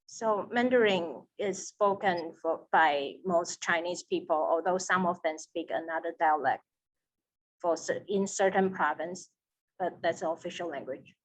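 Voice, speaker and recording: A woman from Taiwan with a Taiwanese accent, a seminary student, answering an interview over a video call with slow, careful, non-native English. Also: lowish voice, poor audio.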